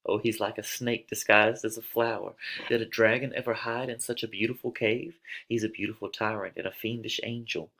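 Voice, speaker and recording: A man's voice: on the low side, steady tone, a little fast, gravelly, fairly bright tone. A man playing a lovesick young girl in a dramatic reading of a tragedy, declaiming with open emotion. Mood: bitter. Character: lovesick young girl, impatient, then anguished and grieving.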